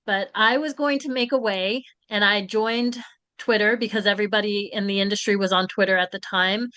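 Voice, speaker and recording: A woman in her forties from Florida, a literary agent, talking in a measured, reflective way as an interview guest. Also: medium pitch, steady tone, bright, forceful tone, smooth, no echo. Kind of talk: conversational interview.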